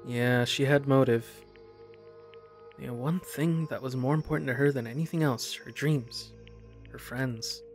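An earnest teenage schoolboy read by a man, brooding and dramatic. He speaks haltingly in a game's visual novel style, over background music. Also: mid-range voice, close to an everyday voice. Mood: betrayed, downcast. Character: earnest, anguished teenage schoolboy protagonist of a mystery game.

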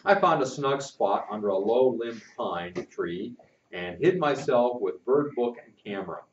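An older man reading a chapter book aloud, expressive and lively, with friendly asides to his listeners. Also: medium pitch, measured pace. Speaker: storyteller. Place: indoor classroom-like room with posters. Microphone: far camera. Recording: echoey room, distant dull mic.

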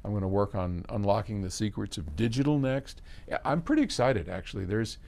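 Male host, 70s, lowish pitch, conversational and emphatic explainer, pauses mid-thought. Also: expressive, vocal fry, a little mellow. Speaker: host, audio company chief answering viewer questions. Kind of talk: casual explanatory talk to camera. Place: indoor electronics workshop.

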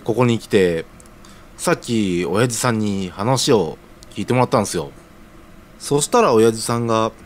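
An actor playing an earnest, deferential young man, talking in casual junior-style polite speech in an audio drama. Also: lowish voice. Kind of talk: scripted audio drama acting.

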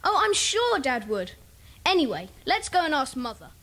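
A woman voicing a bossy, eager schoolboy club leader with an English accent, brisk and commanding, in a children's audio drama. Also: high-pitched, very animated, a bit bright. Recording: noisy cassette audiobook transfer.

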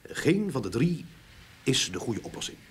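An actor playing a talkative, enthusiastic sleuth in a radio drama, explaining things eagerly in a husky voice with emphatic repeats. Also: male voice, mid-range voice, very animated, moderate pace. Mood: storytelling. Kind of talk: Dutch radio drama acting.

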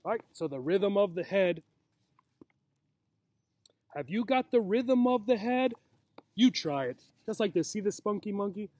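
A man's voice: sits high, animated, measured pace, long pauses, a little mellow. A man in his forties reading a picture book aloud as a teacher, with simple, encouraging talk for young children. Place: outdoors in a grassy yard. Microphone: camera or laptop mic at about arm's length.

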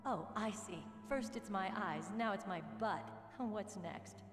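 A woman's voice: mid-range voice, a little slow, close to an everyday voice. Heavy echo and background music surround a young woman soldier voiced by an actress in a video game. She is tough and proud, speaking frankly and earnestly, with a searching streak under the self-assurance. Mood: challenging, teasing. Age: young adult. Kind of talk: dramatic game cutscene dialogue. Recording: echoey reverberant game audio, music underneath.

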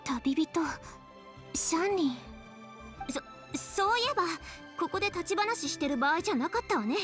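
A woman's voice: very high-pitched, expressive, normal speed, a bit bright. A woman playing an earnest, dutiful young noblewoman in an anime game, speaking formally and stiffly, easily flustered. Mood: hesitant, embarrassed. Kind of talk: Japanese anime game voice acting. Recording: faint music behind game dialogue.

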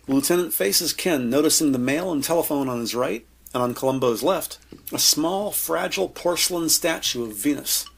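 A man narrating a scripted audio drama in measured, descriptive storytelling. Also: fairly high pitch, gravelly, breathy edge.

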